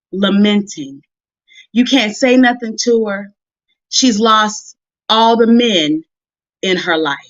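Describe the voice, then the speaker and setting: A woman's voice: fairly high pitch, a bit bright. An American woman preaching in a conversational storytelling style, folksy and emphatic, repeating phrases for emphasis. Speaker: minister and show host preaching. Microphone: livestream audio. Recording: roomy, slightly dull livestream audio.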